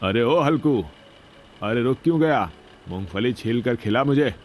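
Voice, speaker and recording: A man's voice: mid-range voice, animated, measured pace, a little mellow, a little hiss or hum, close to an everyday voice. A man voicing a cruel, pompous rich mill owner in a story cartoon, talking in a bossy, taunting way. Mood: smug, bossy. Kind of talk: Hindi moral-story cartoon dubbing.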